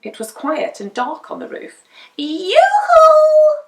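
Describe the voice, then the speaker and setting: A woman reading a children's picture book aloud as a storyteller, with dramatic, deliberate emphasis. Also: fairly high pitch. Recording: roomy indoor echo.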